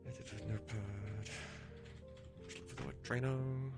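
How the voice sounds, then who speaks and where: A man's voice: on the low side, steady tone, normal speed, over loud music. A man making a game mod, chatting casually and giving easygoing direction. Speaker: mod creator. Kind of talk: casual chat on a call.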